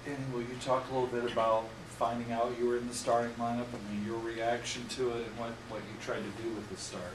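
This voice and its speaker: A man reporting on sports, asking long, measured questions at a press conference. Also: medium pitch, little pitch movement. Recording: echoey room, distant mic, noisy.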